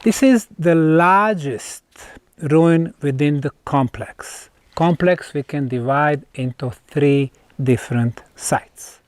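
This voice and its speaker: A man with a Bosnian accent presenting an on-site documentary in deliberate, emphatic phrases broken by pauses. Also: a little high, dry recording. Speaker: presenter, researcher. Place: outdoors at stone ruins.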